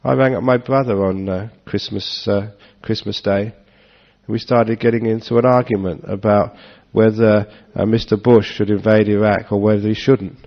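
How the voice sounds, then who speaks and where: A low, gravelly man gives an easygoing Dharma talk, breaking into jokes and chuckles as he answers the audience. The recording sounds dull and lo-fi. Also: moderate pace. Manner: relaxed, joking, chuckles often. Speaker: monk giving a Dharma talk.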